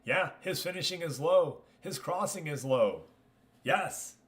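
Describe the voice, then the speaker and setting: Higher-pitched man chatting on a livestream. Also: lively intonation, unhurried, fairly bright tone. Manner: chummy, addresses viewers as buddy and man. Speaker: livestream host. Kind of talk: casual livestream chat and game commentary.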